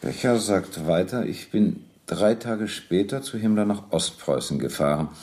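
An actor playing a sober, persistent police captain in a docudrama radio play, measured, precise and matter-of-fact as he questions and reads from documents. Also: on the low side, expressive, a little mellow. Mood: sober reading.

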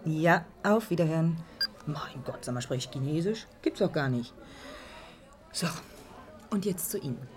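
A sardonic, cheeky front-desk woman in a radio drama. Her voice is on the low side and very animated in pitch. She is brisk and teasing, with plenty of irony. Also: soft background music. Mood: exasperated. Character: cheeky, sardonic Iranian-born woman receptionist at tech firm. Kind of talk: German radio drama acting.

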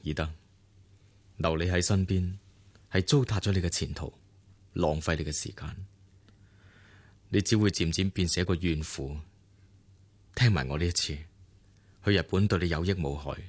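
An actor playing a wealthy businessman boss in a radio drama, calm and coolly dismissive, with a Hong Kong Cantonese accent. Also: low-pitched, normal speed, pauses often, breathy edge.

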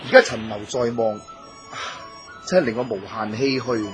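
A man's voice: medium pitch, steady tone, moderate pace, soft background music, close to an everyday voice. A man playing a courteous, dutiful scholar-official in a Cantonese radio drama, earnest, formal and theatrical.

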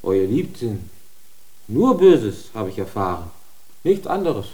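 A man's voice: lowish voice, big pitch swings, takes it slowly, darkish tone, low-quality recording. An actor playing a humble, frightened man accused before a church tribunal, pleading and deferential in a radio drama.

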